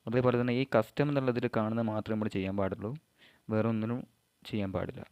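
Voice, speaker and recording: A man from Kerala, giving an informal step-by-step tutorial in halting, short phrases. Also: lowish voice, fairly even intonation, a little mellow, clean tone. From Kerala, India. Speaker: amateur vlogger giving an app tutorial.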